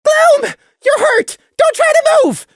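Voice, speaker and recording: A woman voicing a frantic, furious male pony who shouts shrilly with a raw, strained throat. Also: extremely high pitch, very animated, slow pace, no room sound, studio quality, pitched above the performer's own voice, full character voice. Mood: frantic shouting.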